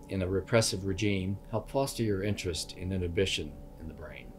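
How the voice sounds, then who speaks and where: A man asking prepared questions as an interviewer. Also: low voice.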